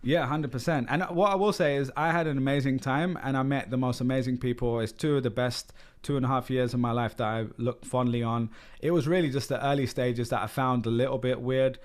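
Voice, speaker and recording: A man in his thirties from London with a London accent, talking candidly as a podcast guest, full of "like" and "um" fillers. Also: medium pitch. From London, England. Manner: candid, rambling, frequent "like" and "um" fillers. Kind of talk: casual interview conversation.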